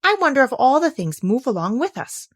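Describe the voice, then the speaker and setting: Woman voicing an eager, animated storybook young girl. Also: sits high, brisk, no room sound, studio quality, pitched above the performer's own voice. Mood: puzzled wonder. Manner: wondering, earnest, polite. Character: Alice, eager, curious, polite young girl.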